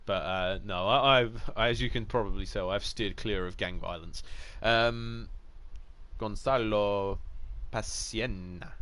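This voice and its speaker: Chatty Essex man with a low voice. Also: slow, fairly bright tone. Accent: Essex English. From Essex, England. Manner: chatty, jokey, rambling with restarts. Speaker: gaming streamer. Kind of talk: casual live-stream commentary.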